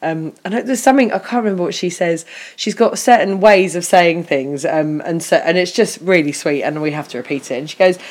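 A middle-aged woman co-hosting a podcast, talking in a relaxed, chatty way with frequent restarts and laughter. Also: lowish voice, brisk. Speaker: podcast co-host. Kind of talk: casual podcast chat.

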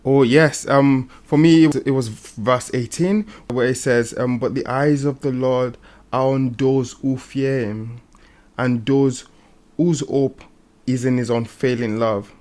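A man's voice: medium pitch, steady tone, a little slow, a little mellow. Man talking hesitantly about faith. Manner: hesitant, repeating words as he thinks. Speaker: panelist on a religious programme. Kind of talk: casual faith discussion.

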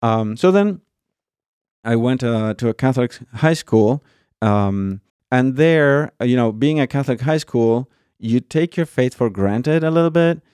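A man from Argentina, a priest, telling his story in a thoughtful, conversational way, pausing with fillers and often checking with "right?". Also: medium pitch, animated, a little mellow, clean tone, no echo, studio quality. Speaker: priest guest.

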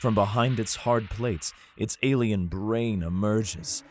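An actor playing a grim, weary soldier who narrates his ordeal in an audio drama, grave and measured. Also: on the low side, slight background noise. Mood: grim dread.

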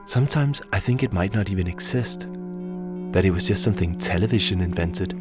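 A man from Denmark, a native Danish speaker, giving calm, reflective narration for a radio documentary. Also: deep voice, brisk, over music.

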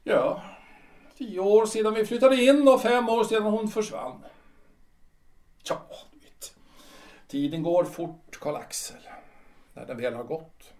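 A male actor plays a reclusive retired civil servant in his sixties in a radio play. His voice sits high and swings widely as he muses, halting and repeating himself, resigned and nostalgic. He sounds some way off from the mic in a roomy space. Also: expressive, a little mellow. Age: 60s. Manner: hesitant, repeats himself, muses in broken phrases. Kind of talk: theatrical radio drama acting. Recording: roomy echo, distant from the mic.

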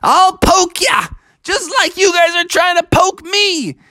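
A storyteller voicing an excitable male adventurer, exclaiming with dramatic wonder. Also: extremely high pitch, slow, no echo, clean studio sound, voice pushed up, exaggerated voice. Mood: defiant.

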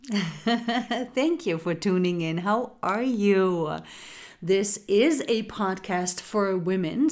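Slow, soft-spoken woman host. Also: mid-range voice. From Germany. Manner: slow, soft-spoken, addresses listener as goddess and sister. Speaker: podcast host. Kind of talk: spiritual podcast talk.

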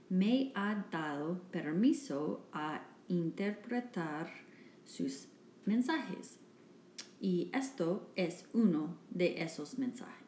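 Very slow, halting woman in an echoey room. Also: on the low side, mellow. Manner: halting, hesitant delivery with restarts. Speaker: podcast host and interpreter. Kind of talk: reading a Spanish interpretation of a church talk. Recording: echoey room with faint background noise.

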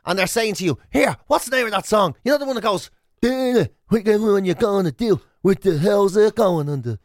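A man's voice: high voice, lively intonation, fairly quick, no echo, clean studio sound. An Irish man co-hosting a radio show with teasing, rambling comic banter, acting out his examples as he goes.

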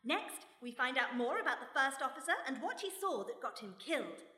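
A shrewd British lady detective in a radio play. Her voice is high and bright, with composed, dryly witty delivery. It rings in an echoey hall. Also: very animated, fairly quick, full character voice. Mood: composed, wry. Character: shrewd, unflappable British mother turned amateur sleuth. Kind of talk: radio play mystery acting. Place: stage before a live audience. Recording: echoey hall, live stage recording.

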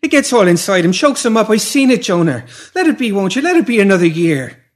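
A man's voice: sits high, sing-song, a little fast, voice pushed up. A man voicing an anxious, protective slum mother who pleads in rustic speech, in a storybook reading. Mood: distraught pleading.

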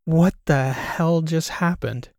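A man voicing an excitable male podcast host who shouts in disbelief. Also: on the high side, slow, gravelly, no room sound, clean studio sound, voice pushed up. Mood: shocked. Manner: loud, exclamatory.

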